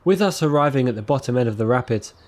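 A young man calmly narrating his own outdoor journey as a scripted documentary voice-over, with a measured, reflective delivery. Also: mid-range voice. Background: music playing under the voice.

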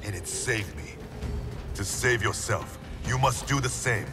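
A man voicing a calm, resolute ninja grandmaster in a video game cutscene, earnest and pleading. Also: mid-range voice, measured pace, far from the mic, over music, lightly acted. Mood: urgent.

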